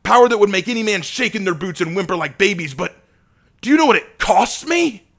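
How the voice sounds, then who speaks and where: A man voicing a tormented young male vampire with a volatile temper, who bursts into shouting rage and anguish. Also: a bass voice, moderate pace, voice pushed down.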